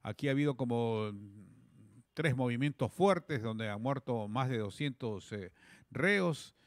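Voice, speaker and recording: Radio host guy, middle-aged, dry close sound, eh fillers, mid pitch. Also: lively intonation, unhurried, no echo. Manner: long questions with hesitant fillers. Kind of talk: radio studio interview. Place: small radio studio. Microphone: studio microphone on a boom.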